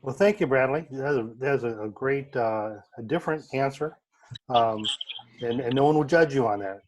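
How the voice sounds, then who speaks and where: A man leading a club meeting session in a friendly, halting way, with many fillers and repeats. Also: mid-range voice.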